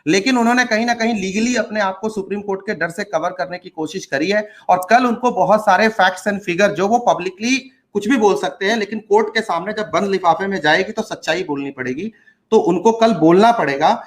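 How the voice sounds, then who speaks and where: A man who teaches exam courses, talking casually in a hoarse voice, earnest and questioning. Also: sits high, moderate pace. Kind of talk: casual chat.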